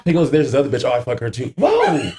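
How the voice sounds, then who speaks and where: A man chatting casually on a podcast, joking and exclaiming in a raspy voice with plenty of swearing. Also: mid-range voice, quick pace, background noise. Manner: animated, exclaiming, joking, profane.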